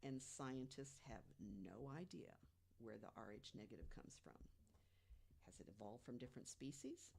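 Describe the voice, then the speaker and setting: Woman, low register, podcast panelist. Deliberate conversational storyteller who leans on pauses and rhetorical questions. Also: animated, vocal fry, darkish tone, a little hiss or hum. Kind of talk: conversational storytelling about mysteries.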